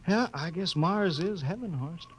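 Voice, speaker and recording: An actor playing a hearty, trusting spaceship captain back home, casual and boyish in a 1950s radio drama. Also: male voice, high voice, lively intonation, unhurried. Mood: musing, skeptical. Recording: old radio recording with faint noise.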